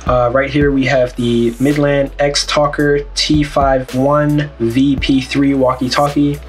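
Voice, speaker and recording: A man's voice: mid-range voice, little pitch movement, takes it slowly. A man hosting an online video, explaining gear in a casual, conversational way. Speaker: YouTube host. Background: background music.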